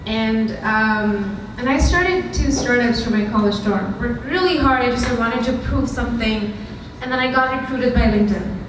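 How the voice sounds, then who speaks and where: A woman's voice: a little high, moderate pace, hardly any pauses. A young woman from India with an Indian accent, giving a candid, conversational talk to a live audience with frequent ums. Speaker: startup founder giving a talk. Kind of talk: storytelling lightning talk. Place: event hall with audience. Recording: very echoey hall from far off, noisy.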